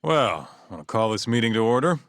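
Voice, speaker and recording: An actor playing a laid-back investigator who talks casually and bluntly. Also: male voice, medium pitch, very animated, a little fast, a bit bright, no room sound. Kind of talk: video game cutscene voice acting.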